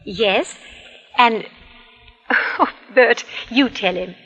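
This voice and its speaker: Bright-voiced, sweet elderly aunt. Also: female voice, a little high, very slow, husky, full character voice. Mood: coy. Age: older. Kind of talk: 1940s radio acting. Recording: reverberant, muffled vintage radio recording.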